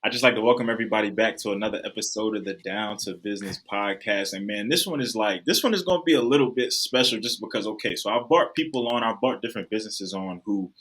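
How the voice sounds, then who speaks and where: A man's voice: lowish voice, a bit bright, slightly rough. A young man hosting a podcast in a casual, hyped-up way, full of slang. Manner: hyped, slangy, rapid talk. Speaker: podcast host.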